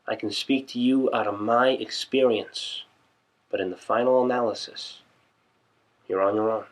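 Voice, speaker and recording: A man's voice: mid-range voice, natural voice, rough recording. A man performing a monologue as a crude, opinionated advice-giver, with a blunt, punchy, streetwise manner. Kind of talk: theatrical audition monologue.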